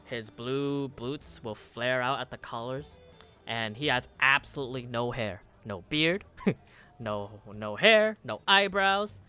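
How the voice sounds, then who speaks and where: A young male game master narrating a tabletop session in a casual, stumbling way, often joking at his own expense. His voice is smooth and clear, with quiet music playing behind. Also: mid-range voice, expressive. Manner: rambling, halting, self-mocking. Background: soft music.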